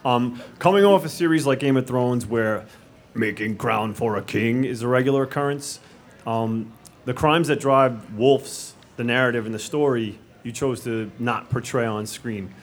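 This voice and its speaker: A man in the audience asking a question at a Q&A, halting and pausing as he goes. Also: mid-range voice, slight background noise. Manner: halting, with pauses.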